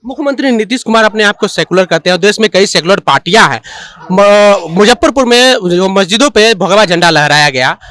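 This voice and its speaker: A man's voice: high voice, expressive, fairly quick, a bit bright, no room sound. A man working as a reporter, putting questions to people in a vox pop interview.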